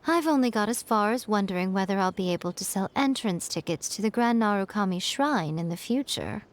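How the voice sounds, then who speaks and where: A woman's voice: mid-range voice, animated, fairly quick, lightly acted. A woman voicing a sly, mischievous female shrine priestess in video game dialogue, speaking in playful, teasing banter. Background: soft game music.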